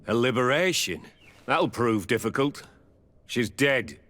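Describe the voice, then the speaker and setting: A man's voice: mid-range voice, expressive, a little slow, fairly bright tone. A man acting a blunt, unsentimental military captain in a video game, brusque and matter-of-fact.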